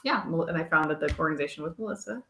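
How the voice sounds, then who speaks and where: Low-voiced woman from Kansas, bright. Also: very fast, roomy sound. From Kansas, USA. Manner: relaxed, many ums. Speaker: nonprofit executive director. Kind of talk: casual interview.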